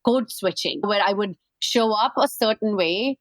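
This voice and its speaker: A woman with an Indian accent hosts an interview. Her voice sits at a medium pitch in a very dry recording, and she speaks conversationally, mixing questions with personal anecdotes. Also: measured pace, dry recording. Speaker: podcast host.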